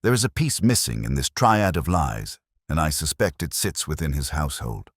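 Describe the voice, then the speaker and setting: A grim detective as a man reads him in an audiobook with a very deep voice and a dry, close sound. He is incisive and commanding, with expressive pitch. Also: moderate pace, husky, no room sound, exaggerated voice. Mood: pensive. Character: grim, shrewd male detective, coolly commanding. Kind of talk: audiobook character dialogue.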